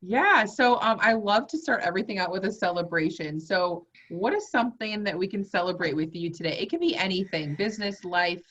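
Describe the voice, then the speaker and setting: A woman hosts a video interview, her voice at an average pitch. She talks with eager enthusiasm and lets her thoughts ramble, and the recording sounds dull and lo-fi. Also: fairly quick, hardly any pauses, a bit bright. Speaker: host. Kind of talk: interview conversation.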